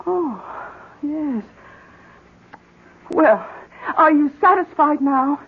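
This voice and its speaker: A woman acting an anxious, devoted, gentle wife in a 1970s radio drama. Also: sits high, lively intonation, very slow, full character voice. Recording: muffled, hissy 1970s radio broadcast.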